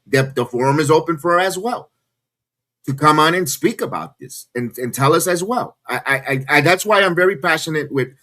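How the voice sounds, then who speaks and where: An earnest man hosting a casual wrestling podcast. His tone is bright and forward, his pitch a little high. He repeats words and restarts lines when he gets worked up. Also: a little fast.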